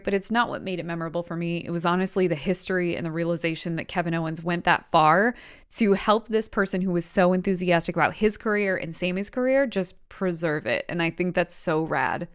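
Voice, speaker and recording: A woman's voice: mid-range voice, smooth. A woman hosting a vlog, giving enthusiastic commentary in an informal, slangy, effusive way. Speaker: host.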